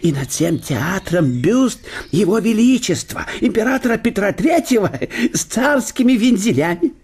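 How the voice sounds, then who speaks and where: An actor playing a fussy, obsequious older German professor at court in a radio play, hesitating with fillers. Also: male voice, high voice, sing-song, normal speed, darkish tone, slightly rough, exaggerated voice. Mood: fawning.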